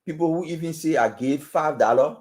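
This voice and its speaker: A man's voice: a little high, brisk, a little mellow. A man with a Liberian accent, speaking as a guest on a political talk show with an emphatic, preaching cadence and repeated phrases. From Western Liberia. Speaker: panel guest.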